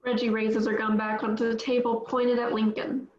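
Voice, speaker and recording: A woman reading stage directions aloud at a table read, steady and plain, with small slips. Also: fairly high pitch, normal speed, background noise. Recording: echoey, dull lo-fi audio.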